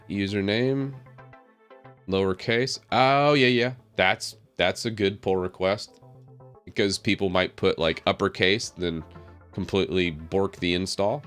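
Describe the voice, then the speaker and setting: A low-voiced man talks loosely on a live stream, thinking aloud as he clicks through his work. A cold leaves him a little raspy, and his talk is full of fillers and quick laughs. Faint music plays quietly underneath. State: slightly raspy from a head cold. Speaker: tech streamer. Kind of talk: casual live stream talk while working on screen.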